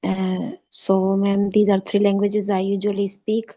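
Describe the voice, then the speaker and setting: Flat-toned Sindhi-speaking woman student. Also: mid-range voice, a little slow, no room sound. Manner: polite, often says "ma'am", fillers and restarts. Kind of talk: online class speaking practice.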